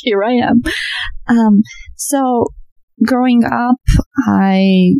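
Woman with a mellow voice telling her story. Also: fairly high pitch, fairly even intonation, drawn-out words, creaky, no echo, rough recording. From Romania. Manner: laughs often, chatty with self-corrections. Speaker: guest, registered dietitian. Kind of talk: casual interview storytelling.

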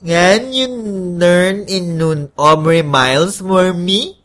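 A man's voice: sits high, slow pace, fairly bright tone, pitched above the performer's own voice. A man playing a bewildered, congested, hesitant ordinary guy in an audio story. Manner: hesitant, stammering. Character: bewildered, congested young everyman facing a devil.

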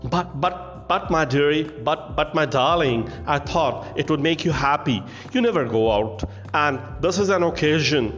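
A man's voice: a little high, fairly bright tone, in a large hall, dull audio. A man voicing a nervous young clerk husband who stammers and pleads with his wife.

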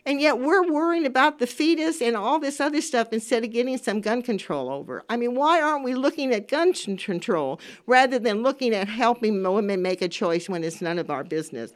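A woman's voice: on the high side, expressive, brisk, hardly any pauses. A woman in her seventies hosting a talk radio show, speaking casually and emphatically, repeating phrases for stress as she gives her opinions. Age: 70s. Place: radio studio.

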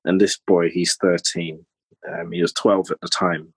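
A man, from Trinidad, speaking as a podcast guest in a candid, hesitant way with many pauses and ums. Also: low-pitched, level tone, breathy edge, no echo. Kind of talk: candid personal conversation.

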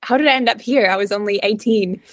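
A woman in her twenties from Latvia with a Latvian accent, a podcast guest telling her faith story reflectively, with many pauses and ums. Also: medium pitch, no room sound. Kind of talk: personal faith story in an interview.

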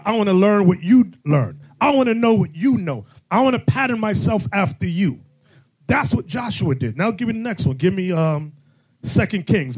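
Man, bishop preaching, husky voice, emphatic rising bursts, call-and-response. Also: high voice, darkish tone. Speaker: bishop teaching a congregation. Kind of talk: sermon-style teaching.

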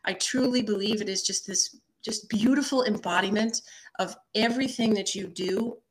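A woman hosting a podcast, speaking in a gushing, effusive way with halting restarts. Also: medium pitch, breathy edge, rough recording. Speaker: podcast host. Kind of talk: casual interview chat.

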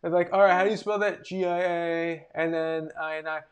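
A New man guesting on a comedy podcast, loose and self-deprecating. He jokes casually and slows way down when spelling out his name. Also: a little high, steady tone. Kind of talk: casual chat.

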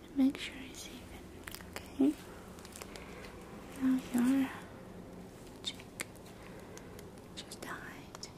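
A woman whispering softly and closely as a doctor in an ASMR roleplay, giving gentle, reassuring bedside talk into a binaural mic. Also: sits high, level tone, drawn-out words, pauses often. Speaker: ASMR creator role-playing a doctor.

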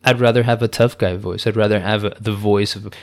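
A young man hosting his own podcast, talking alone in a calm, easygoing way, rambling with many fillers and restarts. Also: on the low side, a little fast. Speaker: podcast host. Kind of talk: casual solo podcast monologue.

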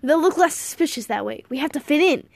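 A woman's voice: high voice, lively intonation, brisk, creaky, dry recording, voice pushed up. A woman voicing an eccentric, urgent old grandfather in an audiobook, exclaiming in quaint, old-fashioned phrases. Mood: urgent, intent. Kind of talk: audiobook character voice.

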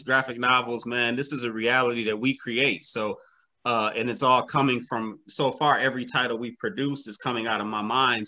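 A man's voice: medium pitch, sharp tone. A man speaking as an interview guest in casual, slangy conversation, repeating words and feeling his way through sentences. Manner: halting, repeats words, slangy, often says "man" and "you know". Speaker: interview guest, comic book creator.

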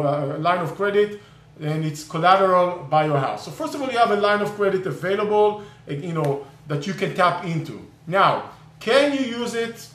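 A man's voice: high voice, a bit bright, echoey room. A man whose first language is Hebrew hosts a live online session on real estate investing, explaining casually with many fillers and restarts. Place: California.

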